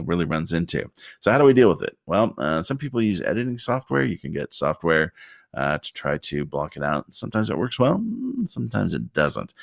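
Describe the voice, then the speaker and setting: A man with a very deep voice giving a rambling, casual tutorial at his desk into a desktop mic, with lots of fillers and wry asides. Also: gravelly, no room sound, clean studio sound. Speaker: video creator giving tips. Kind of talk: casual talking-head tutorial. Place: home desk. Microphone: desktop condenser mic.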